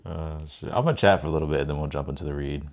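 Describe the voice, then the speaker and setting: A man's voice: very deep voice, very fast, a little mellow. An American man hosting a tarot livestream, chatting informally and rambling, often repeating his phrases. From United States, grew up in Ohio and Texas. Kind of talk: casual livestream chat and card reading.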